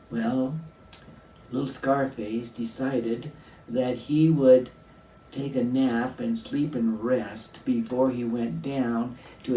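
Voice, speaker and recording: A man telling a story aloud to children, deliberate, with dramatic pauses and questions for the listener. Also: mid-range voice, mellow. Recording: roomy echo, distant mic, faint noise.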